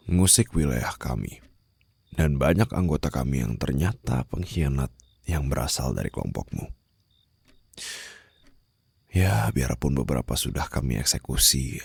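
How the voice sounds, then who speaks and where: A man's voice: darkish tone, dry recording, exaggerated voice. A man playing a cold, menacing mafia boss in an ASMR roleplay, speaking formally and condescendingly to a captive, with mocking sweetness, close on the microphone. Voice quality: very low, gravelly, husky. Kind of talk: ASMR roleplay acting, addressed to the listener. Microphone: close studio-style microphone.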